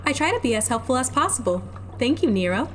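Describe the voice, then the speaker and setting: A woman's voice: high-pitched, very animated, fast talker. A woman voicing a polite, helpful female android companion who speaks in formal, precise phrases. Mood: calm. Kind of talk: audio drama acting.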